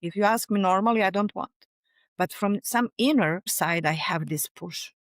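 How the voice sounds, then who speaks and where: A middle-aged woman with a Serbian accent, speaking animatedly in an interview and often pausing to search for words. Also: medium pitch, no room sound, studio quality. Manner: animated, searching for words, frequent "you know". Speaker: guest, fascia researcher and author. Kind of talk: conversational interview.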